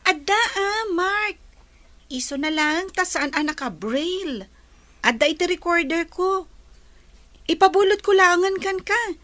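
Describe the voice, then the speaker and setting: Gentle student girl, acted by a woman: high-pitched, close and dry, for radio-style drama. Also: lively intonation, a little slow, long pauses, dry recording, full character voice. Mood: helpful. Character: gentle young blind student. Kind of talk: audio drama acting.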